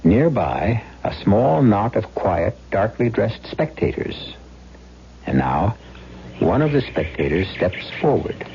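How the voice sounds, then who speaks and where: A man hosting and narrating a radio drama in an atmospheric, ominous style with deliberate, dramatic phrasing. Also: fairly low pitch, big pitch swings, warm tone, slightly rough. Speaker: host and narrator. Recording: muffled old radio with prominent music.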